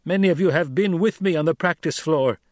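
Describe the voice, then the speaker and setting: A man voicing a young male desert leader who speaks forcefully and questions his listeners rhetorically, in an audiobook. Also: fairly high pitch, a little fast, no echo, studio quality, pitched above the performer's own voice, natural voice. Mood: commanding. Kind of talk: audiobook character voice.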